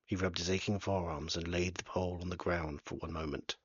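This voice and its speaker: A man's voice: sits low, fairly even intonation, a little fast, slightly rough, no room sound, dull audio. A man reading aloud from his own novel in a plain, unpolished way. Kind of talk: storybook narration.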